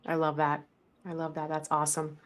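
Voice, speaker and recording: A woman from the American South hosting a podcast interview, responding with quick, enthusiastic affirmations. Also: low voice, completely flat delivery. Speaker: podcast host. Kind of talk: casual interview chat.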